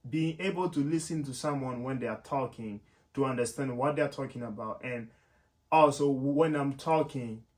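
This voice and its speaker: A man's voice: mid-range voice, normal speed, darkish tone. Man, casual vlogger. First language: French. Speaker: YouTube host and software developer. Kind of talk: casual explanatory vlog talk to viewers.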